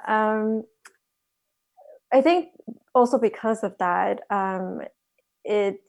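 A woman from Taiwan answering interview questions hesitantly, with many pauses and self-corrections. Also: medium pitch, gravelly, darkish tone, no echo. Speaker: guest, financial planner.

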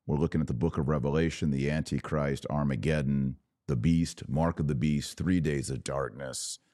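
A man with a slightly raspy voice, worn from hours of talking, hosting a casual religious commentary podcast in short, emphatic phrases. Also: a bass voice, steady tone, normal speed, mellow, dry recording, studio quality. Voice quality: a little raspy. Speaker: podcast host.

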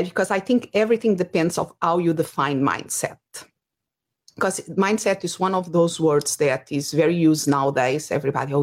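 A woman's voice: low-pitched, darkish tone. A woman from Portugal with a Portuguese accent, a business coach talking through ideas in a casual interview and searching for her words. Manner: thoughtful, searching for words with fillers. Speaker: business coach and podcast host.

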